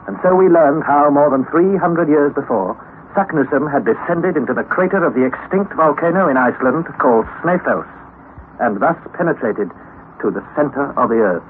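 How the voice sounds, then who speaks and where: A man's voice: fairly high pitch, expressive, moderate pace, close to an everyday voice. An actor playing an anxious young student who recounts his expedition in earnest, formal, dramatic narration for a radio play. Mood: grave, recounting. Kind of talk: dramatized radio narration of a classic adventure novel. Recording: noisy, narrow-band old radio recording.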